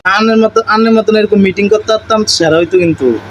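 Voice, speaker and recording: High-voiced Bengali man over clear noise. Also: a little fast, a bit bright. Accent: eastern Bengali dialect. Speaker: vlogger chatting with a friend. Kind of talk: casual teasing chat. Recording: noisy outdoor recording with traffic ambience.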